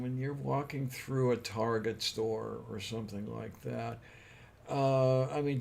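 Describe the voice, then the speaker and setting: A man's voice: medium pitch, creaky. A man co-hosting a casual chat, joking and rambling with lots of fillers. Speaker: podcast co-host.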